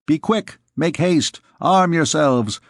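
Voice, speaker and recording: A man's voice: on the high side, lively intonation, slow, dry recording, clean studio sound, pitched above the performer's own voice. Bossy male gang chief barking orders, voice lifted, a man playing him. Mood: urgent. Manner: urging, giving orders. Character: commanding male thieves' leader.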